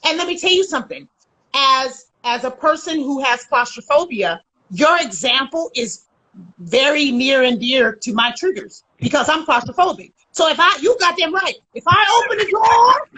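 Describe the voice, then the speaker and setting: A woman relationship coach in a casual conversation, loud, emphatic and blunt, swearing freely. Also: high-pitched, lively intonation, moderate pace, rough recording.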